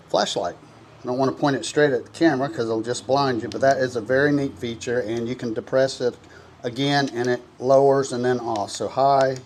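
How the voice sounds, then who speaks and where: A man talks through a product in a casual review. He sounds folksy and enthusiastic, with a medium-pitched voice. There is some faint noise behind him.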